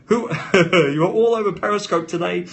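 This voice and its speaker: A man's voice: high voice, normal speed, gravelly, a bit bright. A man with a London British accent live-streaming off the cuff, talking emphatically in short, punchy, motivational bursts. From London, England. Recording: noisy live phone stream.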